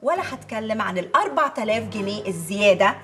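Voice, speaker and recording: A woman's voice: mid-range voice, animated, quick pace, pushed and bright, faint music behind. A woman playing an exasperated, scheming Egyptian mother and wife in a TV comedy-drama, with a dramatic, complaining manner. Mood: lecturing. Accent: Egyptian Arabic. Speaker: actress. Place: furnished home interior, film set.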